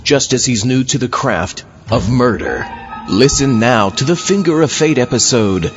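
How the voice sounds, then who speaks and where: Animated male host, slightly dull sound. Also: mid-range voice, measured pace. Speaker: show host. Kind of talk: theatrical scripted story introduction. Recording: slightly dull recording, faint music. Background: faint music.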